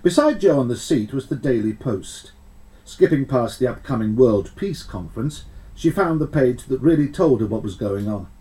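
A measured, wry storyteller performed by a man narrates a science fiction adventure. The phrasing is deliberate and dramatic, and the pitch moves in a lively, expressive way across a medium register. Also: natural voice, rough recording. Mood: measured storytelling. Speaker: audiobook reader.

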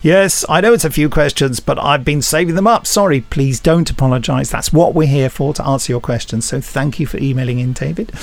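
A man's voice: fairly high pitch, brisk, vocal fry, hardly any pauses, no room sound. A middle-aged man hosting a podcast, chatting casually and reading listener emails in a jokey, rambling, self-deprecating way. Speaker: podcast host.

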